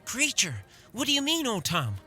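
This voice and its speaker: An actress playing a determined, protective older sister, a young villager speaking earnestly in a fantasy audio drama. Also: female voice, a little high, normal speed, faint music behind, full character voice. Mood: curious. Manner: earnest, expressive.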